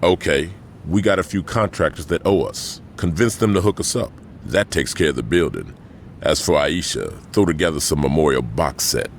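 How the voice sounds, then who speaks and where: An actor playing a stern middle-aged American crime boss in a video game cutscene, blunt and authoritative, with a gravelly voice. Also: male voice, a bass voice, animated, normal speed, slight background noise. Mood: stern, businesslike. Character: commanding middle-aged male gang kingpin, stern and short-tempered.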